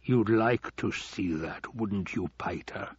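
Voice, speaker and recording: A man voicing a scheming, silkily menacing older nobleman in an audiobook, with slow, drawn-out taunts. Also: fairly low pitch, husky, dry recording, muffled recording, exaggerated voice.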